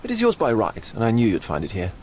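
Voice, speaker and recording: Genteel, wildly lilting art dealer. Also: male voice, fairly low pitch, wildly swooping pitch, darkish tone, a little hiss or hum. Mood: confiding. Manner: florid, teasing, drawn-out phrasing. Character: genteel, theatrical older male art dealer, probing and sly. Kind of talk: video game cutscene acting.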